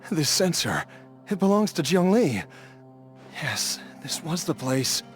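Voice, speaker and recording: A man playing an ailing, stubborn, devoted young man in a video game, halting and strained. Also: a little high, sing-song, soft background music. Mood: dazed, urgent.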